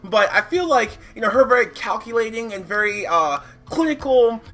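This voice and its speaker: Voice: medium pitch, lively intonation, normal speed, a bit bright. A reviewer giving casual, opinionated commentary in a conversational way, thinking aloud with frequent asides. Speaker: comic book reviewer. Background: background music.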